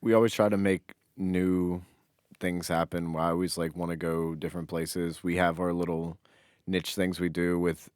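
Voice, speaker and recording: Male podcast co-host, deep register, dry sound, loose joking chat. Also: little pitch movement, normal speed, darkish tone, clear, resonant tone, dry recording. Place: small office.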